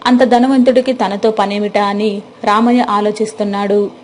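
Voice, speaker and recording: A woman's voice: medium pitch, fairly even intonation, normal speed, darkish tone, a little hiss or hum. A woman reading a scripted story as a storyteller. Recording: music behind the voice. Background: background music.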